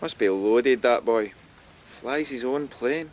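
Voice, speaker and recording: An actor playing a dry, ruminative, world-weary older detective in a radio drama. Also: male voice, medium pitch, slow, darkish tone, lightly acted. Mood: wry, weary.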